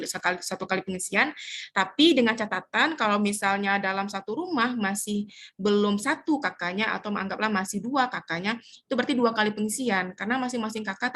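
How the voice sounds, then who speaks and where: A woman explaining step by step how to fill in a community household form, pausing often to search for words. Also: mid-range voice, a bit bright. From Indonesia. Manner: hesitant, searches for words with many fillers. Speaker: community trainer.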